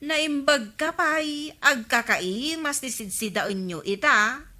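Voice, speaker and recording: A woman playing a village councilwoman who keeps a small store, brisk and matter-of-fact, in a radio comedy drama. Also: sits high, sharp tone, heavily acted. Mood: chatty. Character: busy village councilwoman tending her small snack store.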